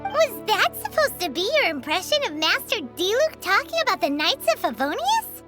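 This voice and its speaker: Tiny cheeky game sidekick fairy: extremely high childlike voice, swooping delivery, music underneath. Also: female voice, very animated, normal speed. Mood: curious. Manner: perky, exclamatory. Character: small, childlike fairy companion, cheeky, excitable, chatty. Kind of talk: English video game voice acting. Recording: music behind the voice.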